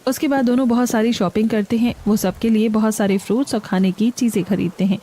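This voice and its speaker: A woman's voice: a little high, fairly even intonation, fast, mellow, a little hiss or hum. A woman storyteller reading scripted narration for a cartoon family story.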